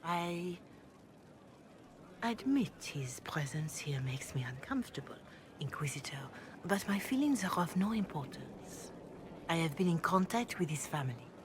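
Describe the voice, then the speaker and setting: A woman playing a grave, courteous older cleric in a video game, speaking in a formal, measured, diplomatic way. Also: on the low side, lively intonation, noisy background. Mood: grave, reserved. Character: grave, courteous older Chantry mother bearing delicate news. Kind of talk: video game dialogue acting.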